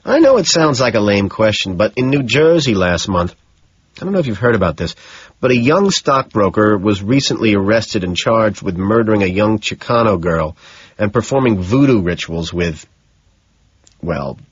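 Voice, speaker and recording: Man playing a husky, evasive Wall Street yuppie. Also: lowish voice, big pitch swings, normal speed, no echo, muffled recording. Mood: nervous, probing. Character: vain, vacant, evasive young Wall Street businessman. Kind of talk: audiobook character dialogue.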